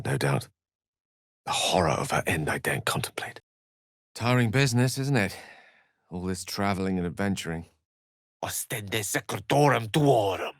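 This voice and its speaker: A man's voice: fairly low pitch, fairly bright tone, no echo, studio quality. A man playing an erudite, courteous and wry wizard in a video game, speaking in eloquent, theatrical sentences. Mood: somber, then incanting. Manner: eloquent, articulate, theatrical, wordy. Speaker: video game character voice actor.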